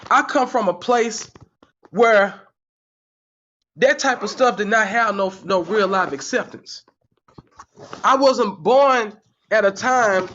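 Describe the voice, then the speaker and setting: A man in his forties hosts a heated commentary stream, his voice sitting high for a man. He repeats himself and keeps asking the chat rhetorical questions. The sound is dull and lo-fi. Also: a bit bright. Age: 40s. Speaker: channel host. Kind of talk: livestream commentary, reading the chat.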